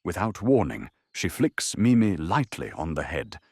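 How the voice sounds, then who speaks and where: A man's voice: low-pitched, big pitch swings, unhurried, darkish tone, slightly rough, no room sound, studio quality. A man narrating an audio drama in a dramatic, scripted storytelling style.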